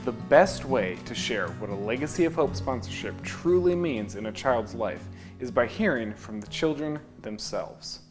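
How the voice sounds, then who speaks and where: Man narrating a charity video over guitar. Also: medium pitch. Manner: measured, steady delivery. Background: guitar music.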